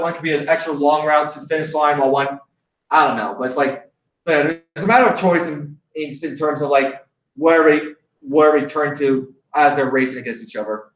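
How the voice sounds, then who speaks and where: A young man from Hawaii with a slightly high voice talks loosely as a host, trailing off and restarting his sentences. He sounds a little far from the mic in a roomy space, and the sound is somewhat dull. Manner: rambling, many restarts and fillers. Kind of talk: casual chat. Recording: echoey room, distant mic, dull sound.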